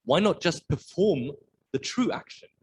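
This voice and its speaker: A man's voice: a little high, animated, unhurried, darkish tone, breathy edge. A man moderating an academic talk, reading out questions from the audience.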